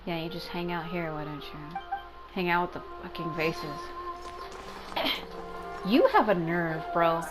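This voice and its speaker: A woman giving casual, expressive, exclamatory gameplay commentary with plenty of swearing. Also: on the low side, a little slow, long pauses, rough recording. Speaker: gaming streamer. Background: game music.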